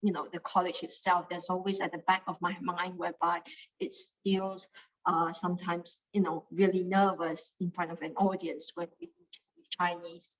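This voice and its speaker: A woman's voice: fairly low pitch, flat delivery, normal speed, rough recording. A young woman from Malaysia with a Malaysian English accent, sharing a personal experience with a study group, hesitant, with many fillers and repeated words. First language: Cantonese.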